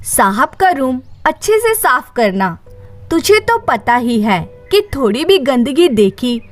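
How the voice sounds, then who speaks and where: A woman's voice: high voice, very animated, slow, dry recording, soft background music, exaggerated voice. A woman voicing an unhappy, timid young wife of a rich household, evasive and hesitant, in a story.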